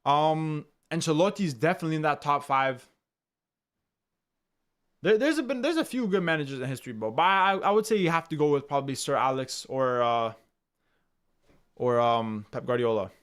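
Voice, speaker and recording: A young man from Canada chatting through a live football stream. His voice sits a little high, and he talks in a loose, slangy way, circling back over the same phrases and tossing in "bro" constantly. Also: expressive, normal speed, long pauses, clean tone. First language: English. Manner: loose slangy talk, says "bro" a lot, repeats himself; bursts loud and high when goals go in. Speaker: watchalong streamer. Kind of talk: casual live commentary, reading chat.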